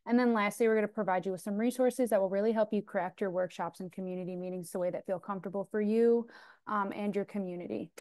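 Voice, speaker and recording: A young woman with a smooth, clear voice opens a video meeting through earbuds in a dim room. The sound is close and dry. She is upbeat and welcoming, and she fills her pauses with frequent ums. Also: medium pitch, steady tone, darkish tone, dry recording. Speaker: outreach coordinator and meeting host. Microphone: earbuds on video call.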